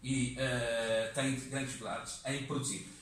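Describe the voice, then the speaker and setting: A man in his fifties with a European Portuguese accent, giving a halting classroom lecture full of fillers, recorded from across the room. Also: mid-range voice, steady tone, fairly bright tone. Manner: halting, many fillers and restarts. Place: community classroom with seated audience. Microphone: far camera in the room. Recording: echoey room, voice from a distance.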